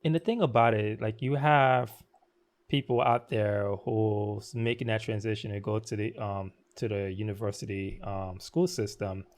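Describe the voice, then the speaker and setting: A man's voice: fairly low pitch, steady tone, moderate pace. A man hosting an interview podcast, asking long, encouraging questions in a casual, friendly manner. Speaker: podcast host.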